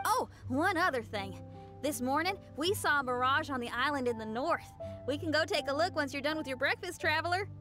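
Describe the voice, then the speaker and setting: Young female rocker, high bright voice, lively swings, breezy, enthusiastic, game dialogue over music. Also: fairly quick. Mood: friendly. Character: upbeat, adventurous young female rock musician. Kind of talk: video game voice acting.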